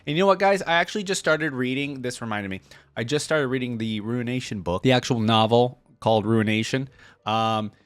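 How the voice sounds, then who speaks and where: A man's voice: mid-range voice, brisk, no room sound. A man hosting a reaction video in a casual, conversational way, moving between reading lore aloud and informal commentary. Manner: informal, conversational asides between read passages.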